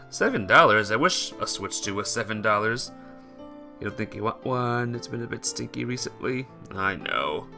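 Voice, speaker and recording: Expressive male game streamer over music. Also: fairly low pitch, moderate pace, gravelly. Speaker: game streamer reading a visual novel aloud. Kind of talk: casual livestream chat mixed with expressive read-aloud narration.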